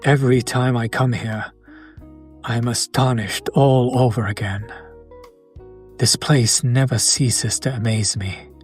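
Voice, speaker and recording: A man's voice: medium pitch, steady tone, unhurried, faint music behind, natural voice. A man playing a charming, old-world vampire in a romantic audio roleplay, speaking to his beloved in formal, attentive, archaic phrasing. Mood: genteel, admiring. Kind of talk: romantic audio roleplay, intimate scripted acting.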